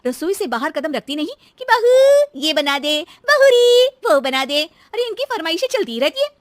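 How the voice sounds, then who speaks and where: Woman playing a shrill, dramatic young daughter-in-law. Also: sing-song, normal speed, no echo, clean studio sound. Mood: frustrated. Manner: dramatic, grumbling. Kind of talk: animated story dialogue.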